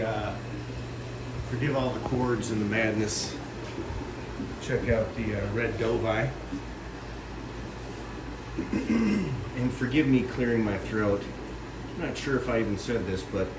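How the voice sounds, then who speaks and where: A man with a stuffy nose giving a rambling, casual tour of his home fish room, pausing often with fillers. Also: lowish voice. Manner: rambling, with many pauses and fillers. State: congested with a runny nose. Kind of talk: casual walkthrough vlog. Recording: echoey room with loud AC hum, mic at a distance.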